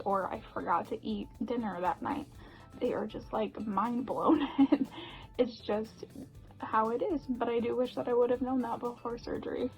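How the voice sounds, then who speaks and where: A woman's voice: on the high side, creaky, a little mellow, rough recording. A young woman talking casually to her viewers in a personal vlog, chatty, with frequent laughs and "um" fillers. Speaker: vlogger.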